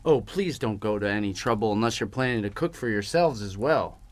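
An actor playing a courteous, slightly awkward young newlywed husband in an audio drama. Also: male voice, lowish voice, lively intonation, brisk, lightly acted. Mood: curious, uneasy. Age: young adult. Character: polite, curious young newlywed husband, a traveler.

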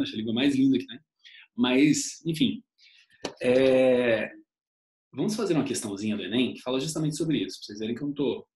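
A man in his thirties teaching history over slides in a casual online lecture, constantly tagging sentences with a questioning "né?". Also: roomy sound. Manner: chatty, uses "né?" as a constant tag, animated pitch swings.

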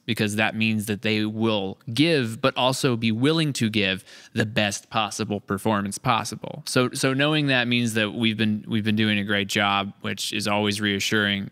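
A man's voice: lowish voice, bright, forceful tone, smooth, dry recording. A man in his thirties hosting a casual podcast chat, talking in reflective, wordy, hesitant phrases into a close studio mic. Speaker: podcast host. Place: large indoor studio room. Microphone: close boom-mounted studio mic.

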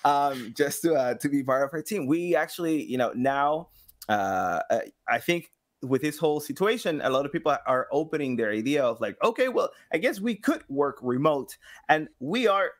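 A man in his thirties talking casually as a podcast guest, halting as he searches for words. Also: fairly high pitch. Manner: halting, searching for words, animated quoted asides. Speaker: guest, sound designer and community engagement director at a game studio. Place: small home studio room, indoors. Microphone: microphone on boom arm.

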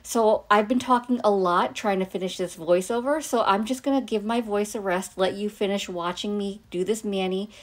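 Hoarse woman, casual nail vlogger voiceover, relaxed chatty delivery, close mic. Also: mid-range voice, normal speed, hardly any pauses. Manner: chatty, easygoing, thinking aloud. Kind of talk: voiceover for an unboxing and manicure video.

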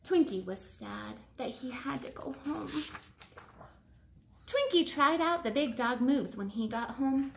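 A woman in her thirties reading a picture book aloud to children like a teacher, with expressive, emphatic phrasing. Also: a little high, vocal fry, a little hiss or hum. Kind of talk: children's storybook read-aloud. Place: living room at home, indoors.

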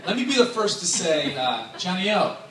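A man's voice: high-pitched, big pitch swings, normal speed. A man in his thirties giving a joking best man's toast on a handheld mic in a large wedding tent, chatty and laughing between lines. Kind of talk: casual humorous speech to a crowd. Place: large wedding tent with a dance floor, indoors. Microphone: handheld microphone through speakers, filmed from afar. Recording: echoey tent heard from far off, loud crowd and noise. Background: wedding guests laughing and cheering.

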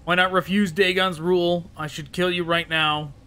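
Casting: male gaming host, higher-pitched and crisp, wry, off-the-cuff commentary. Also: flat delivery, normal speed, bright, forceful tone. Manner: wry, chatty, thinking aloud. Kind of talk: casual gameplay commentary.

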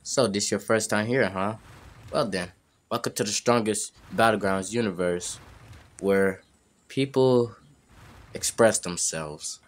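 A man voicing a seasoned, cocky fighter mentoring a newcomer in an amateur game roleplay, deliberate and ominous. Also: lowish voice. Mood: ominous, welcoming.

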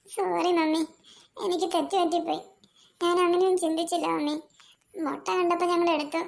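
A woman's voice: extremely high pitch, little pitch movement, a little fast, creaky, pitched above the performer's own voice. A woman voicing a young boy who whines and pleads with his mother. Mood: sorry, pleading. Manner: whiny, pleading. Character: young boy, greedy and sulky, easily sorry.

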